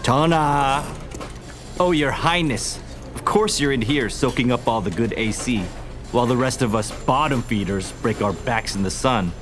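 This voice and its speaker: A man's voice: medium pitch, moderate pace, over music, close to an everyday voice. A man playing a cocky young army conscript who talks in a mocking, brash, slangy way. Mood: sneering, taunting. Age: young adult.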